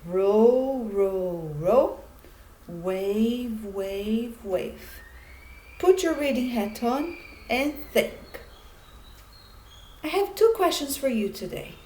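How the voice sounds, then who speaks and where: A woman teacher reading a children's story aloud in a deliberate manner, speaking directly to young listeners. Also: on the high side, lively intonation, dark tone, slight background noise. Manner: deliberate, addressing children with questions.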